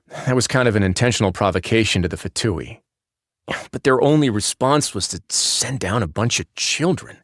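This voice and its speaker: A composed, dignified warden from a video game, a man with a fairly deep voice. He talks in a measured, conversational way with dry humour and easy authority. The sound is dry, with no room around it. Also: no room sound. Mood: serious, thoughtful. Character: calm, wry, authoritative male fortress warden, dignified but laid-back. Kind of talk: video game character voice lines, conversational monologue.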